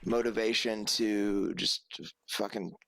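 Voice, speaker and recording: A young man from the American South, co-hosting a podcast in a joking, relaxed casual chat over a headset mic at home. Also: fairly low pitch, takes it slowly, no echo. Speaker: podcast co-host. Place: small room with pink lighting, at home.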